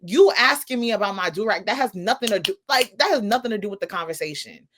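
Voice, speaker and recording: A woman's voice: mid-range voice, animated, fast talker, background noise. Woman podcast co-host, slangy and emphatic. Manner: informal slangy phrasing, emphatic. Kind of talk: casual opinionated entertainment commentary.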